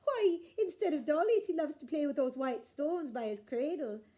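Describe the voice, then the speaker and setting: A woman's voice: high-pitched, animated, normal speed, warm tone, voice pushed up. A woman voicing a crafty, sweet-talking wife who coaxes with a sugary tone. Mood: coaxing. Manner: sugary, coaxing.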